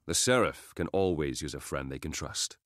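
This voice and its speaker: An actor playing a measured, authoritative male guard captain in a video game. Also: a bass voice, dry recording, studio quality. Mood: approving.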